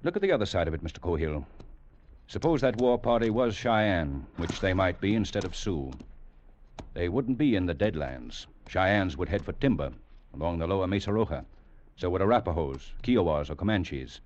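An American actor playing a stern, exacting older cavalry captain in a radio drama, speaking in a measured, formal, lecturing way. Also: male voice, sits low, animated, a little mellow. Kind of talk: 1940s-50s radio acting. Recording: dull, hissy old radio recording.

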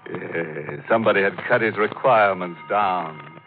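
An actor playing a macabre, wryly joking mystery host, narrating deliberately in 1940s radio horror style on a tinny recording. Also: male voice, low voice, gravelly, a little hiss or hum. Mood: ghoulishly amused. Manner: tongue-in-cheek, deliberate. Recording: tinny old radio recording with loud organ music.

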